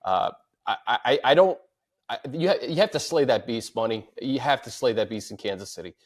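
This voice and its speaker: A man's voice: medium pitch, expressive, brisk. A man hosting a sports show, discussing teams and fan questions in a conversational, unscripted way.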